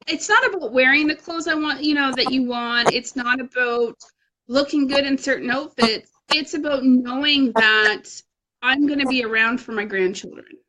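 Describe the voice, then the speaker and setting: A woman in her thirties talks in a casual, motivational way, frank and self-deprecating. Her voice sits a little high and sounds bright and forceful. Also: low-quality recording. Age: 30s. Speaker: fitness challenge group coach.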